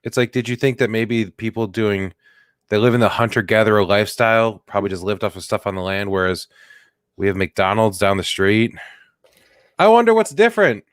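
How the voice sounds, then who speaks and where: Man, low voice, dry close sound, comedy podcast co-host, jokey banter. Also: a little fast, clean tone, dry recording. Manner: joking, riffs with silly put-on voices. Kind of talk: casual comedic chat.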